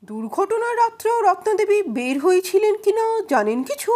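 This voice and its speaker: A woman's voice: extremely high pitch, very animated, fairly quick, warm tone, voice pushed up. A woman voicing a probing male defence advocate in an audio story, questioning witnesses in a formal, insistent, pointed way. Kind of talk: dramatized courtroom questioning.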